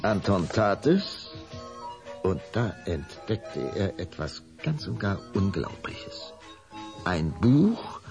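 A man's voice: fairly low pitch, darkish tone. A man narrating a children's audio drama in a dramatic, suspenseful storytelling manner.

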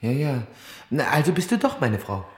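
A jaunty jailed gangster played by a male actor, with very animated, swinging intonation. He is breezy and patronizing in old comic radio-serial fashion, in an echoey cell-like room. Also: medium pitch, normal speed, natural voice. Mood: soothing. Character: jaunty male gangster in jail, soothing his wife.

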